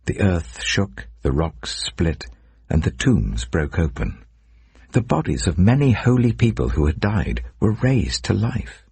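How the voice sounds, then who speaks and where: A man's voice: low-pitched, big pitch swings, measured pace, vocal fry, darkish tone, breathy edge, dry recording. A man narrating scripture as a scripted, dramatic audiobook reading.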